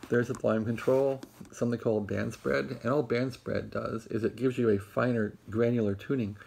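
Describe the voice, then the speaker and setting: An American man, middle-aged or older, giving a casual, rambling, unscripted show-and-tell in a husky, gravelly, musing voice. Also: medium pitch. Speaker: vlogger showing a vintage radio. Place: bedroom in a house. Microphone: handheld camera, close behind it.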